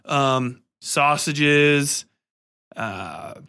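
A man hosting a podcast, giving casual, rambling film commentary in an excitable, emphatic, sweary way. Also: on the high side, drawn-out words, gravelly, clean tone. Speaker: podcast host.

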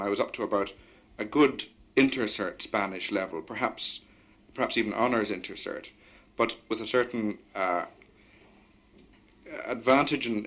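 A man with a fairly low voice. He talks in a measured, articulate way and puts careful questions to his guest in a radio discussion. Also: a bit bright. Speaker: interviewer.

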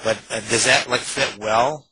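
A man's voice: mid-range voice, fairly even intonation, measured pace, background noise. A male interviewer asking casual questions in hesitant, choppy phrases.

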